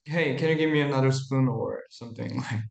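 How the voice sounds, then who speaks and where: A young adult man with a Korean accent, a singer and guest answering interview questions in halting English with short replies. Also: medium pitch, vocal fry, reverberant. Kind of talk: casual interview chat. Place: radio studio.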